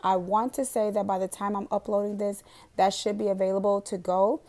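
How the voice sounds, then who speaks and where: A young woman with a raspy, hoarse voice from a cold, chatting casually in a voiceover while setting up her monthly budget planner, rambling with lots of fillers. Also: medium pitch, level tone, brisk, a little mellow. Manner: chatty, rambling, with frequent "um" and laughs. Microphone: voiceover recorded separately from the footage.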